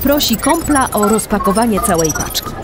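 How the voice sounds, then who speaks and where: A woman's voice: medium pitch, lively intonation, moderate pace, heavy background noise, natural voice. A woman narrating a children's adventure in a scripted audio drama. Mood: storytelling. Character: female storyteller of a kids' adventure.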